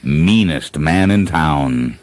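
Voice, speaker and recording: A man's voice: very deep voice, lively intonation, drawn-out words, a little mellow, dry recording. A man narrating a comedic radio play, introducing scenes.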